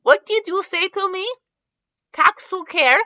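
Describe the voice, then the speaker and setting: A woman's voice: extremely high pitch, unhurried, bright, forceful tone, highs cut off, pitched above the performer's own voice, exaggerated voice. A woman voicing a bossy, sneering female French bulldog with a French accent, imperious and insulting, as in a storybook reading. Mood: outraged.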